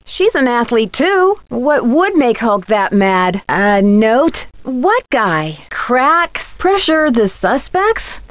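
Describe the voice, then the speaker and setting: An actress playing a plucky, curious young female amateur detective in a video game, clearly enunciated and inquisitive. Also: fairly high pitch, dry recording, studio quality. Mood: probing. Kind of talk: video game dialogue, questioning.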